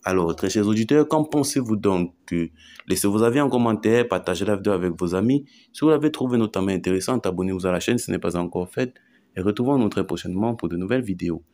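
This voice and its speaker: Male news chronicle narrator, lower register, formal and emphatic scripted read. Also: fast talker, warm tone. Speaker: online news chronicle presenter.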